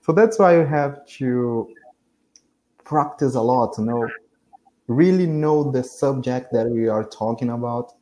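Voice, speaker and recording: Young male English learner, Portuguese native, warm mellow voice, halting delivery over a video call. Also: medium pitch, rough recording. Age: young adult. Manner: hesitant, self-correcting, laughs often. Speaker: English student. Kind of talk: casual conversation practice.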